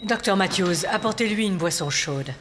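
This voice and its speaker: A woman voicing a brisk, kindly animal doctor in charge in a children's cartoon, authoritative and reassuring. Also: medium pitch, animated, a bit bright, slight background noise, lightly acted. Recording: long reverb, music behind.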